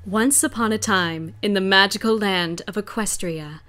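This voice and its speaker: A stately, regal princess voiced by a woman narrates like a fairy tale, calm and measured. Her delivery is dignified and unhurried. It suits a gentle storybook. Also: mid-range voice, close to an everyday voice.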